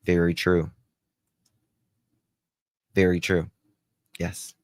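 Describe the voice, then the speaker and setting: Guy doing live commentary, really unhurried, chatty thinking out loud, dry sound. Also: deep voice, monotone, no room sound. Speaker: host reacting to recordings and reading live comments. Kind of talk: casual live-stream commentary.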